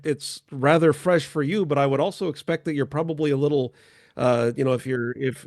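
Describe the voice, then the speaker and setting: Man, mid pitch, chat show host, casual, halting, unscripted, dry recording. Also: vocal fry, darkish tone, no echo.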